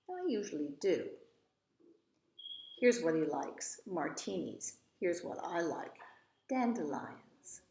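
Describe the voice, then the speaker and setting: A woman's voice: lowish voice, creaky, a little mellow. A woman reading as a precocious, self-assured little rich girl in a storybook, matter-of-fact and haughty with dramatic flourishes. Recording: roomy, echoey voice recording.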